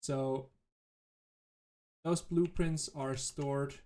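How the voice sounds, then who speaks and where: A man whose native language is German, thinking aloud in halting, self-correcting commentary as he plays a game. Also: mid-range voice, steady tone, mellow. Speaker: let's play host. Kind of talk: casual gameplay commentary.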